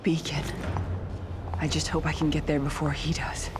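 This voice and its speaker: An actress playing an uneasy but determined detective in a horror game, muttering to herself in a hushed, breathy voice. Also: female voice, level tone, moderate pace, music in the background, close to an everyday voice. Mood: uneasy, stunned. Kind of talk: horror game dramatic monologue. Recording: loud rumbling ambient noise behind voice.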